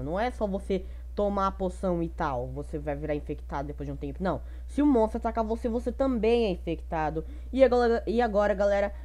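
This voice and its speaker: A woman's voice: medium pitch, a little mellow. A woman with a Brazilian accent giving casual, slangy gameplay commentary as a gaming YouTuber, chatting and thinking aloud.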